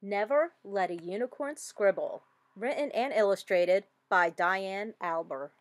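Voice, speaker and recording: A woman reading a children's story aloud with expressive, dramatic emphasis. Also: medium pitch, unhurried, no room sound. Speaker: storybook reader.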